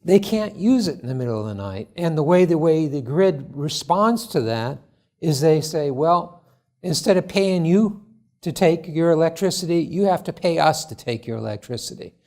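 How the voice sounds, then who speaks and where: A man presenting his startup at a conference forum, answering judges' questions in a halting, earnest way full of restarts. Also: on the high side, animated, mellow. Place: conference hall.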